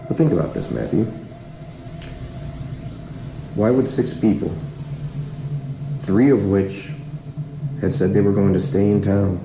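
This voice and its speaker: A man playing a stern small-town lawman in a fiction podcast, questioning deliberately and suspiciously, with pointed pauses and a gravelly voice. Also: lowish voice, background noise. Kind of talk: fiction podcast drama acting. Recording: roomy echo with music underneath.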